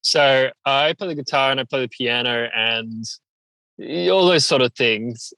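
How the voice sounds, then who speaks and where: A man with a bright, forward voice chats casually as an interview guest. The sound is close and dry, and he tells things in an animated way, slipping into quoted asides. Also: medium pitch, unhurried, creaky, no echo, low-quality recording. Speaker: interview guest, singer-songwriter. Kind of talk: casual chat.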